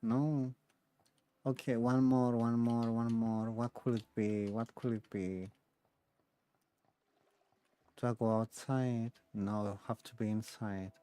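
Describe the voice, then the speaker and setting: A woman gives casual playthrough commentary in a mellow, dark-toned voice. She takes her time, musing aloud in short, repeated phrases as she plays. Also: a bass voice, steady tone, slow, pauses often. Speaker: game streamer.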